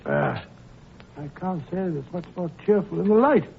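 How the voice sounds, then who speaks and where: A jittery, fretful companion in an old radio play, his high voice swooping up and down. The sound is thin and tinny like an old broadcast, with faint hiss behind. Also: wildly swooping pitch, moderate pace, vocal fry, heavily acted. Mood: nervous. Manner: jittery, fretful, easily alarmed. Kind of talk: 1940s radio acting.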